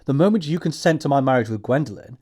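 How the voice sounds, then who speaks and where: A man playing an earnest young gentleman suitor in a stage comedy audiobook, with an upper-class English accent and formal phrasing. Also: mid-range voice, expressive, fast, breathy edge. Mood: insistent.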